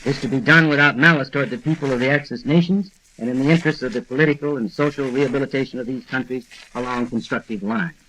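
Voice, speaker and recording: An American man moderating a radio discussion in a measured, formal, scripted style, on a 1940s broadcast recording. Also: mid-range voice, bright, forceful tone, a little hiss or hum, low-quality recording. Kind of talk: formal scripted summary and announcement.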